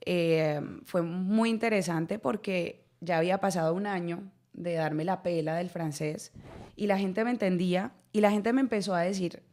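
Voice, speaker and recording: A woman's voice: on the low side, moderate pace, darkish tone. A Colombian woman in her thirties with a Colombian accent, an actress telling her story in a radio interview, laughing often and repeating words as she goes. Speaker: actress guest. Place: radio studio.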